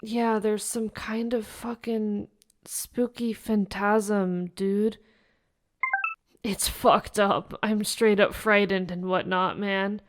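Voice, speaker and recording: A woman's voice: medium pitch, little pitch movement, takes it slowly, close to an everyday voice. A woman playing a frightened young gamer friend in an audio drama, speaking in casual, sweary slang. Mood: freaked out. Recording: clearly noisy recording with clicks.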